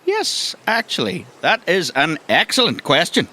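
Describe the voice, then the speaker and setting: A man's voice: fairly high pitch, very animated, unhurried, sharp tone. A man with an Irish accent narrating a tabletop roleplaying game as game master, descriptive, with colloquial asides. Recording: music behind the voice.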